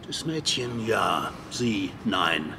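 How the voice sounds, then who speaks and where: An actor playing a stubborn, officious morgue official who talks curtly in a radio crime drama. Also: male voice, on the low side, very animated, slow pace, vocal fry, noisy background, heavily acted. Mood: stern, dismissive. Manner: officious, curt. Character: stern, stubborn male morgue official guarding the door.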